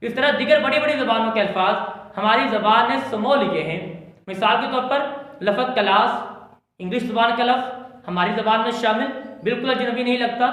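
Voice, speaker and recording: A man from Pakistan with a Pakistani accent, teaching in a formal lecture style, oratorical and deliberate, repeating phrases for emphasis. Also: very high-pitched, a bit bright. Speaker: Urdu language and literature teacher. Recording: strongly echoing, reverberant room sound.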